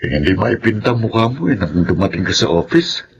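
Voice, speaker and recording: A man's voice: low voice, big pitch swings, fairly quick, slightly rough, muffled recording, full character voice. A man acting in a Filipino radio drama as a sensible, concerned elder cousin, conversational and full of advice. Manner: conversational, advising.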